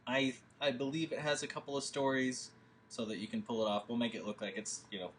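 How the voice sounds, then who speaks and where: A man's voice: mid-range voice, little pitch movement, quick pace, a little hiss or hum. A man leading a film table read, talking through plans in a rambling, hesitant way with many fillers. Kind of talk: casual discussion.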